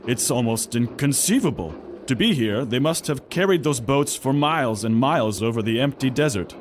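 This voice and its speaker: Military ship captain, male actor: stern, formal, gruff sense of duty, drama delivery over clear vessel noise. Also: medium pitch, lively intonation, normal speed, lightly acted. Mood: alarmed, grim. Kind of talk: full-cast audio drama acting.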